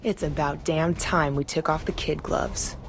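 An actress playing a tough, hawkish military officer in a game cutscene, speaking in a crisp military manner. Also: female voice, low voice, steady tone, measured pace, soft background music, poor audio. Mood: determined. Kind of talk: video game cutscene acting.

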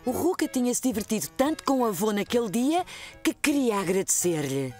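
A woman with a European Portuguese accent narrating a children's cartoon in a gentle storybook manner. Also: on the high side, sing-song, moderate pace, soft background music.

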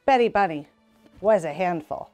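A woman's voice: fairly low pitch, lively intonation, moderate pace, darkish tone, faint music behind. A young woman reading a children's storybook aloud as a narrator. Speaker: EMT reading a children's book. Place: small indoor room.

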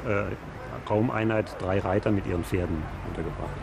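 A man's voice: deep voice, fairly even intonation, brisk, gravelly. A man speaking as an archaeology expert and guide, giving factual, spontaneous explanations in an interview on location. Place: on location at a museum site. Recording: echoey on-site sound with background noise.

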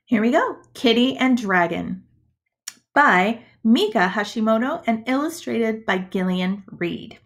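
A woman reading a storybook aloud to young children as a schoolteacher, in a deliberate, expressive manner. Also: fairly high pitch. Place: indoors, webcam screen recording.

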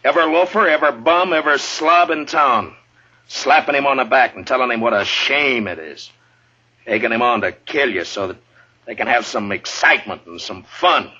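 A man's voice: mid-range voice, animated, normal speed, pushed and bright, husky. A man playing a tough, world-weary lawman, firm but fair and blunt, in a 1950s radio western. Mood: stern, exasperated. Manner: authoritative, blunt. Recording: dull vintage radio recording.

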